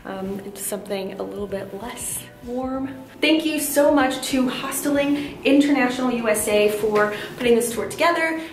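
A woman's voice: fairly high pitch, reverberant. A young woman vlogging to a handheld camera in a hostel, chatty and upbeat. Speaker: travel vlogger. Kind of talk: casual travel vlog. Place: indoor hallway and room of a hostel. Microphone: handheld camera at arm's length.

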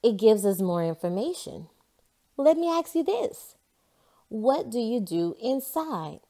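Woman playing a curious, animated girl scientist. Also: on the high side, takes it slowly, voice pushed up, heavily acted. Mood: inquisitive. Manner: assured, inquisitive, explaining.

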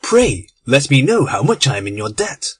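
A man's voice: medium pitch, very animated, moderate pace, fairly bright tone, breathy edge, exaggerated voice. A man reading aloud, voicing a polite, earnest young English lord in a storybook.

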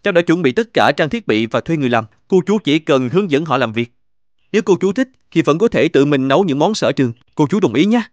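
An earnest young businessman in a cartoon, with a fairly high voice. He is polite and grateful, in a very dry recording. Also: big pitch swings, fast, no echo, lo-fi sound. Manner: polite, sincere.

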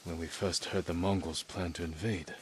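An actor playing an earnest, honourable samurai warrior in a video game, speaking in a solemn, formal, measured way. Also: male voice, low voice, fairly even intonation, slight background noise, lightly acted. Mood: measured, concerned. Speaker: game character. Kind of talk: dramatic video game acting. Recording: music clearly behind the voice.

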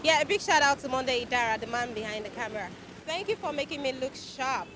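A young Nigerian woman hosting a street interview, bubbly and excited, speaking into a handheld mic at a busy roadside. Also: sits high, quick pace. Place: busy city roadside outdoors. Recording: outdoor street with traffic noise.

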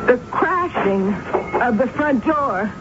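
A woman's voice: a little high, animated. An actress playing a troubled, withdrawn young woman with an intense, dreamy delivery in a 1970s radio drama. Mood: haunted, tense. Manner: intense, theatrical, slow and dreamy. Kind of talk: 1970s radio drama acting, first-person narration. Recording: dull lo-fi radio recording, loud music.

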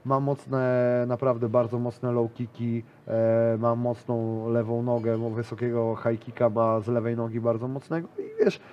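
A man's voice: medium pitch, steady tone, normal speed, few pauses, lo-fi sound. Rambling man in his thirties. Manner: rambling, many fillers and restarts. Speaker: grappler and interview guest. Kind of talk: casual interview chat. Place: small studio with a decorated wall.

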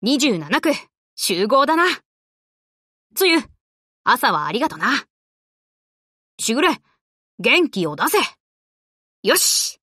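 Brash, high-pitched tomboy anime girl. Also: sing-song, takes it slowly, pauses often, bright, forceful tone, dry recording, studio quality, full character voice. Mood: breezy, casual. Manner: rough boyish slang, cocky and casual. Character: brash, tomboyish young warship girl, lively and slangy. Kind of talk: Japanese anime game voice lines.

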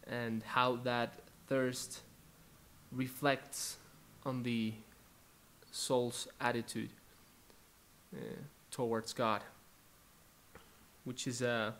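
A young man in his twenties from Colombia, Spanish-speaking by birth, with a Colombian Spanish accent, introducing worship songs at home in a soft-spoken, halting way. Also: medium pitch, flat delivery, slight background noise. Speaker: worship musician. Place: living room at home, indoors. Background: faint acoustic guitar.

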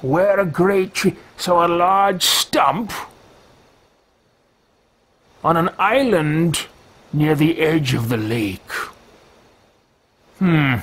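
Man playing a formal male mage, talks to himself in stately lines, husky and slow, lively pitch. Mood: curious. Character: formal, self-talking male mage adventurer. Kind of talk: video game roleplay voice.

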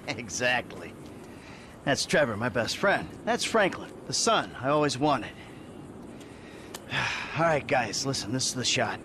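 An actor playing a weary, sarcastic middle-aged ex-robber in a video game cutscene, talking brusquely and swearing. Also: male voice, mid-range voice, very animated, slow, pauses often, bright, forceful tone, exaggerated voice. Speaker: game character. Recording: game audio with clear engine noise. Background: traffic and vehicle noise.

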